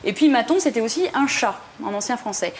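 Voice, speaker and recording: A woman's voice: a little high, expressive, slight background noise. A young woman with a French accent explaining the meaning and history of words in a TV studio segment, with many "euh" and "alors". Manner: fast, explanatory, frequent "euh" and "alors", light laughs. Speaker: language columnist.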